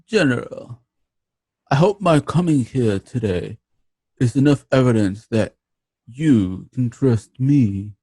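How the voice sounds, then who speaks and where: Man as a wary Roman senator, very slow delivery, expressive swings, principled, radio drama. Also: mid-range voice, breathy edge, no room sound, exaggerated voice. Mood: cautious. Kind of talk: online table-read radio drama acting.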